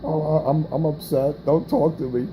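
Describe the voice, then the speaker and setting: A man's voice: fairly high pitch, fairly even intonation, moderate pace, noisy background, voice pushed up, close to an everyday voice. A man doing a mocking impression of a whiny, easily offended man, as if he were a little girl. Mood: whiny mockery.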